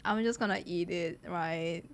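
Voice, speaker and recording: A woman's voice: lowish voice, unhurried. A woman giving casual, gushing reaction commentary as a streamer, chatting to viewers with lots of slang. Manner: gushing, slangy, addresses viewers as guys and bro. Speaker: streamer reacting to a game trailer.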